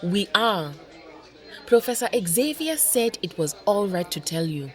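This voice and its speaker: A woman playing a serene, kindly superheroine with a measured, formal and gentle delivery in an audio drama. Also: medium pitch, big pitch swings. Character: serene, kindly, dignified African goddess-like superheroine.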